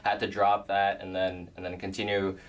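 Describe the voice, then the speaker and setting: A man's voice: sits low, monotone, normal speed, distant mic. A young man from Costa Rica, interviewed in a small office at a desk microphone, upbeat and agreeable. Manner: upbeat, agreeable, laughs often. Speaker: executive assistant, guest. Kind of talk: casual interview chat. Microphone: desk microphone on stand. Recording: music bed under voice.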